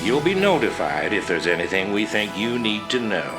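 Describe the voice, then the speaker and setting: A man's voice: lowish voice, big pitch swings, normal speed. A man playing a stern, condescending military officer in a video game, with a commanding Southern American delivery. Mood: dismissive. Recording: loud music over the dialogue.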